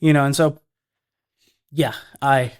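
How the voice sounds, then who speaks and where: This is a man of ordinary pitch who speaks earnestly in a relaxed, conversational way. He often stumbles and repeats words as he works out his thoughts. His voice sits close and dry, with no room sound around it. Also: takes it slowly, clear, resonant tone, no room sound. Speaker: filmmaker guest. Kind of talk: casual podcast conversation.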